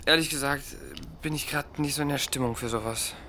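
A man's voice: medium pitch, fairly even intonation, fairly quick, pushed and bright, slight background noise, natural voice. An actor in a radio play voicing a weary, sour man who mutters and answers reluctantly, in a naturalistic style. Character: weary, sour young man with relationship and housing trouble. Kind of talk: naturalistic radio drama acting.

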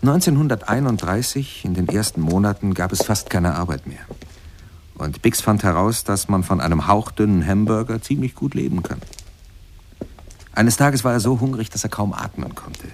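An actor playing the narrator of a radio ballad, addressing listeners directly in short, deliberate sentences. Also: male voice, fairly low pitch, slight background noise, close to an everyday voice. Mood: grave storytelling. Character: storytelling male narrator of a jazz musician's sad life.

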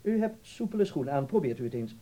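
An actor in a Dutch radio drama playing a blunt, self-assured ballet teacher giving clipped, emphatic instructions. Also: male voice, on the high side, sing-song, fast talker, mellow, a little hiss or hum, rough recording. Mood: blunt, instructing.

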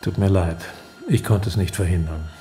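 An actor playing a smooth, calculating secret police officer, measured and falsely sympathetic, in a radio play. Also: male voice, sits low, warm tone, a little hiss or hum, close to an everyday voice. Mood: falsely kind.

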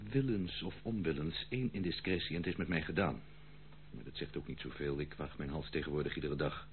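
A man's voice: extremely low pitch, a little fast. An actor in a radio play playing a disowned prodigal son, formal, insistent and theatrical, pleading his case with indignation. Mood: guarded, reproachful. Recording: tinny, phone-like old radio play, slight hum.

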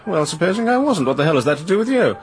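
An actor playing an indignant, evasive novelist in a radio drama. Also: male voice, fairly high pitch, big pitch swings, very fast, faint music behind. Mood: irritable, defensive. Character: defensive, irritable novelist Martin Dering.

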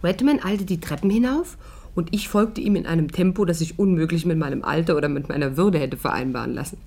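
A woman's voice: low-pitched, brisk, a little mellow. An actress playing a dignified older woman who narrates her own adventure in a composed storytelling manner for a 1950s radio play. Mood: dryly narrating.

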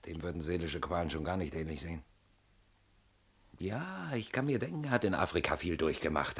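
An actor in a radio play playing a haunted, weary older man who is defensive and brooding, swinging between murmurs and sharp outbursts, with a rough voice. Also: sits low, exaggerated voice. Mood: bitter, scornful.

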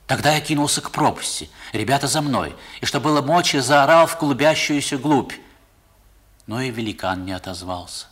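An actor narrating a 1960s radio drama as a man recalling his boyhood, in an expressive literary style. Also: medium pitch, normal speed. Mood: mounting despair. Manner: expressive, theatrical storytelling. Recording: vintage 1960s radio recording.

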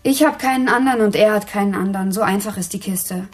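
A woman's voice: medium pitch, fast talker, natural voice. An actress playing a young working-class woman in a radio drama, defensive and frightened, with coarse, hesitant colloquial speech. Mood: subdued, earnest. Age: young adult. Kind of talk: naturalistic radio drama acting.

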